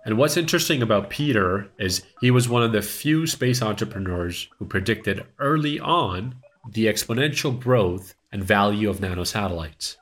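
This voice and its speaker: A man co-hosting a podcast, reading the intro and then asking questions in a relaxed, conversational way with hedging fillers. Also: on the low side, normal speed. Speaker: podcast co-host. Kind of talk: scripted intro and interview questions.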